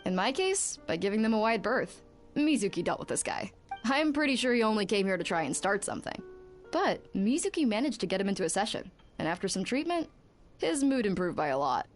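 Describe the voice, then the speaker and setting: A woman performs a blunt female video game character with a middling pitch. She tells stories in a wry, matter-of-fact way and sounds exasperated by troublesome people. Music plays behind her. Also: big pitch swings, a little fast, natural voice. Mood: wry, dismissive. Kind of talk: video game dialogue acting.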